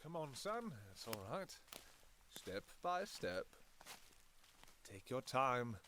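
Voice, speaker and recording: A man playing an ancient, devoted vampire king and doting father in an intimate romantic audio roleplay, speaking softly and haltingly with sentimental endearments. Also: medium pitch, sing-song, a little hiss or hum, exaggerated voice. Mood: gentle, encouraging. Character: tender, devoted two-thousand-year-old vampire king, doting father.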